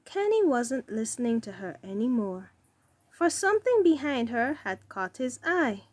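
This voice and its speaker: A woman reading a children's story aloud as narrator, with deliberate, careful phrasing. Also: a little high, very animated, dry recording. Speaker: story reader.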